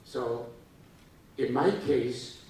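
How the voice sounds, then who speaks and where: Older Taiwanese man, guest lecturer, halting, distant mic in a reverberant hall. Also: mid-range voice, little pitch movement, a little mellow, a little hiss or hum. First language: Japanese. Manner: halting, with many uh fillers and restarts. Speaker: guest speaker. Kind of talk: lecture to students.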